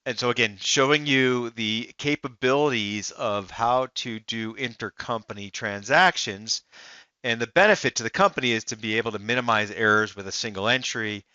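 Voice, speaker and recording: A man narrating a software demo as a voice-over, explaining it step by step in the first person. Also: medium pitch, normal speed, smooth, no echo, lo-fi sound. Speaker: software demonstrator.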